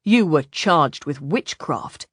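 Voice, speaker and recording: Female narrator as hard-nosed ship's commander, male, curt and flat, giving orders; lowish pitch, dry audiobook sound. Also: slow, no echo, exaggerated voice. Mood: accusing. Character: stern, businesslike male galley commander serving a lord.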